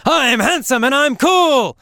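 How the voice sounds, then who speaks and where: A man puts on a cocky young man from a spoken storybook, chanting his own praises in a high, bright, forceful voice. The delivery is slow and emphatic. The sound is close and dry. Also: lively intonation, no room sound, heavily acted. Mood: boastful.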